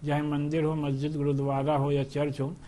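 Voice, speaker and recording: A man answering as a debate guest in a measured, deliberate way, hesitating and mixing in English words. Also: fairly high pitch, completely flat delivery, mellow.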